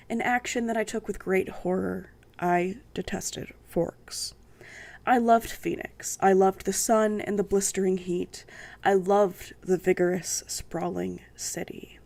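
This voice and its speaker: A woman hosting a book channel, reading a novel aloud and commenting on it in a sassy, sarcastic way, her voice croaky from a sinus infection. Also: mid-range voice, a little mellow. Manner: sniffing and coughing.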